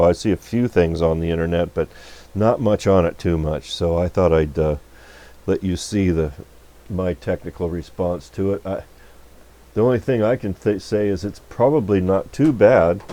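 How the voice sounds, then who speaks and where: A man giving a casual, unscripted technical demonstration at a workbench, talking in a folksy, halting, rambling way. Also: low-pitched, mellow. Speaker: electronics repair technician and video host. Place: radio repair workbench.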